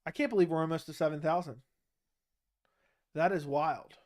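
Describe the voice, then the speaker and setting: A man with a fairly high-pitched voice talks through a casual livestream while nursing a sore throat. He reads viewer questions aloud and answers them bluntly, often with a flat one-word reply. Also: normal speed. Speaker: livestream host answering chat.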